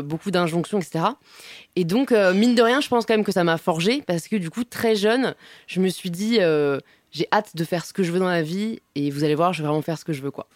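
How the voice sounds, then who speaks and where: A woman's voice: fairly low pitch, no room sound. Candid young Parisian woman. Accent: Parisian French. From France. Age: young adult. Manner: candid, animated, many fillers and laughs. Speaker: guest. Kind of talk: casual interview chat. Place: restaurant wine cellar.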